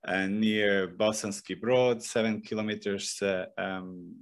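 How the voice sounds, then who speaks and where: A middle-aged man with a Bosnian accent, giving an informal presentation into a desk microphone in a halting, hesitant way. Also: on the low side, fairly even intonation. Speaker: professor and guest speaker. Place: small indoor room. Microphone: desk broadcast microphone on a boom arm.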